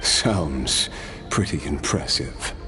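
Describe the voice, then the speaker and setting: An actor playing an old immortal warrior in a video game, weary and wistful, with a reflective, wry manner. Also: male voice, rumbling low, expressive, takes it slowly, loud music behind the voice, heavily acted. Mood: wry, amused. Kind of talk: dramatic video game voice acting, storytelling.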